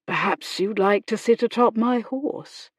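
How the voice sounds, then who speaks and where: A courteous gentleman from a period storybook, read by a woman. He talks in an unhurried, formal and soothing way, gallant and considerate, with a dry, close sound. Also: a little high, no echo. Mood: gentle, reassuring. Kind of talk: audiobook character dialogue.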